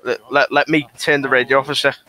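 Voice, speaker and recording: Bright-voiced cheeky British suspect played by a man. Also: medium pitch, little pitch movement, fairly quick, close to an everyday voice. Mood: insistent. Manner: blunt, slangy, mocking. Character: cheeky, mouthy young male suspect under arrest. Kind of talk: casual online police roleplay improvisation.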